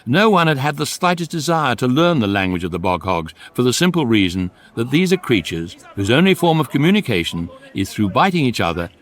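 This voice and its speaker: A wry British narrator played by a male actor tells a comic science-fiction radio story. His mid-pitched voice moves with lively intonation, measured and drily deadpan. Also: natural voice.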